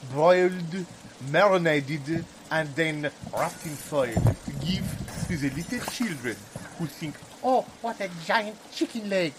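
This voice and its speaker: Male actor as theatrical insulter, higher male voice, emphatic and deliberate, improvised audio comedy with faint background noise. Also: fairly bright tone, low-quality recording. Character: florid, theatrical man trading absurd food insults.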